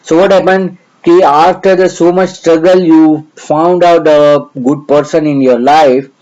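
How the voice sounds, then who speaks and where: A man's voice: a little high, steady tone, measured pace, darkish tone, muffled recording. A middle-aged man from India with a Gujarati accent, teaching astrology in a hesitant, earnest way with many fillers. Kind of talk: lecture.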